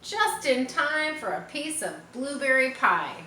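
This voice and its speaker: Woman as a brisk, bossy farmwife, bright tone. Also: high voice, very animated, far from the mic, voice pushed up, full character voice. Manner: firm, ordering. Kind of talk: children's storybook reading. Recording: roomy echo, faint background noise.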